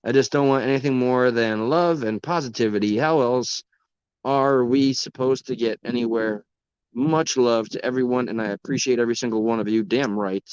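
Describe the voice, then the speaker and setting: A man's voice: medium pitch, moderate pace, a little mellow, smooth, no echo, muffled recording. A man hosting a livestream and chatting with viewers, rambling and passionate, swearing often, his voice worn from talking a long time. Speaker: livestream host. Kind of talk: casual livestream talk to chat.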